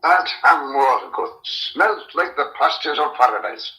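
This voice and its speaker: An actor playing a wry, observant old rustic farmhand, folksy and colourful, in a vintage radio drama. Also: male voice, fairly high pitch, animated, a little slow, sharp tone, rough recording. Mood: wry, relishing.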